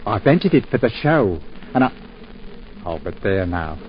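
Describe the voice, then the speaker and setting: A deferential, homely old village gardener. His voice rises and falls freely as he rambles. The sound is muffled 1940s radio with clear hiss. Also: fairly low pitch, very animated, unhurried. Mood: gentle.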